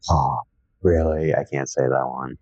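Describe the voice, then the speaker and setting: A young man with a low voice co-hosting a casual podcast chat, an enthusiastic horror fan who rambles a little. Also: steady tone, unhurried, a little mellow, no echo. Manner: enthusiastic, self-described horror fanatic, rambling. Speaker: podcast co-host. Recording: dry, dull lo-fi sound.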